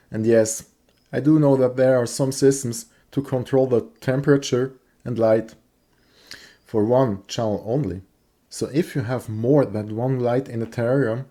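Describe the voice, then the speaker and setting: A man's voice: mid-range voice, mellow, husky. A man with a Swiss accent who is not a native English speaker, giving a careful, scripted voice-over tour of his gecko setup. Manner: careful, plain delivery with some halting phrasing. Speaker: hobby gecko keeper and maker.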